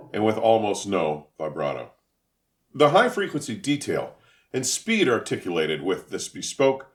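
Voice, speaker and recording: Low-voiced man, dramatically animated reviewer. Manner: emphatic, florid, deliberate enunciation. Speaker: audio equipment reviewer. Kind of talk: scripted review narration.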